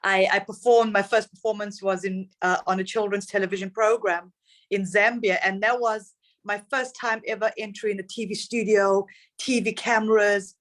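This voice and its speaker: A woman's voice: medium pitch, steady tone, normal speed. A middle-aged woman from Zimbabwe, a singer telling her story in a casual interview, reflective and upbeat. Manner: warm, reflective storytelling with laughs.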